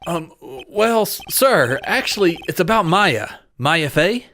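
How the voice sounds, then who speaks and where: A man's voice: high-pitched, very animated, takes it slowly, pushed and bright, a little hiss or hum, full character voice. A man voicing an earnest young defense lawyer in a video game fan dub, hesitant, with dry, exasperated asides. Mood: hesitant, wry.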